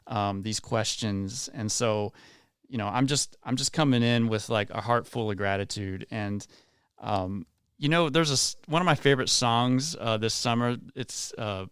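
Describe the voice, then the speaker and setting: A man's voice: medium pitch, normal speed, a bit bright, clear, resonant tone, no echo, clean studio sound. A man around forty from West Virginia, a community leader speaking earnestly and effusively as a guest in a radio interview. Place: radio studio.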